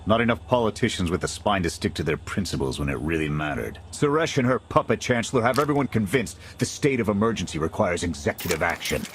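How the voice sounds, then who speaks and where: An actor playing a weary, principled soldier in a video game, gruff and terse. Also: male voice, low voice, sing-song, fast talker, hardly any pauses. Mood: weary, bitter. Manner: terse, military, matter-of-fact. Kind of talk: scripted video game drama acting. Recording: faint game ambience and noise.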